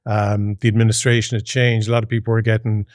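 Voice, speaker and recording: A man's voice: lowish voice, level tone, fast talker, a little mellow, dry recording, clean studio sound. A man speaking as a podcast host, asking questions in a conversational interview.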